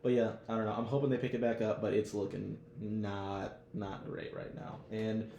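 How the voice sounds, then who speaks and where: A man's voice: lowish voice, level tone, moderate pace, gravelly, dark tone, lo-fi sound. An American man co-hosting a podcast, chatting casually about TV with slangy, profane, opinionated talk. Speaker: podcast co-host. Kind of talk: casual TV discussion chat. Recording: roomy echo with background noise.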